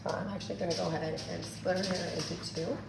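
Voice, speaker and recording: A woman's voice: fairly low pitch, steady tone, fast, gravelly. A woman hair colorist teaching a coloring technique in a chatty tutorial, her voice still recovering from being lost. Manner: chatty, addresses viewers as "you guys". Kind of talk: hands-on tutorial demonstration. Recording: echoey room, distant mic, noisy.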